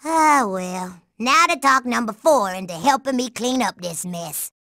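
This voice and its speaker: A woman's voice: on the high side, lively intonation, unhurried, bright, forceful tone, no room sound, studio quality, full character voice. A woman voicing a sassy, tough, confident young girl secret agent in a cartoon video game, with streetwise slang. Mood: sly, wry. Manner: streetwise slang, refers to herself in third person.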